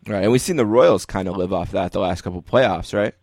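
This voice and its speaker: A man's voice: sits low, brisk, vocal fry, darkish tone, no room sound, studio quality. An American man, middle-aged, hosting a sports podcast with casual, conversational interviewing.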